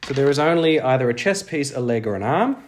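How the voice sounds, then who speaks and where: A man's voice: mid-range voice, animated, brisk, slight background noise. A man thinking aloud, unscripted, as he plays a tabletop game alone, musing to himself. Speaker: solo roleplayer.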